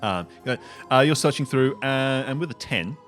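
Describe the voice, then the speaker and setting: A man's voice: mid-range voice, expressive, moderate pace, a bit bright. A man narrating casually as game master of an improvised tabletop game. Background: music.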